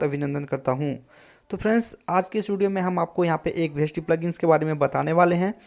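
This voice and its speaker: A man's voice: high voice, darkish tone. Man, tutorial host, casual instructional delivery, frequent check-in tags. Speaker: host of a music software tutorial channel. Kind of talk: casual screen-recorded tutorial.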